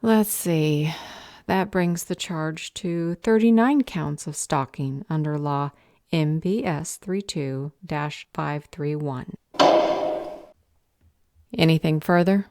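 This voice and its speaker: A woman playing a stern, bored council official in a fantasy audio drama, deadpan, clipped and sarcastic. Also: deep voice, slow, dry recording, studio quality. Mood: bureaucratic.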